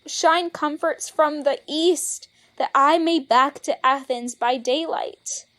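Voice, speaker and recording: A woman as very slow, forlorn young lover. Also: sits high, fairly bright tone. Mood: weary, sorrowful. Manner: plaintive, drawn-out. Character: forlorn, weary young lovelorn woman lamenting. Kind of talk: Shakespearean verse audio drama.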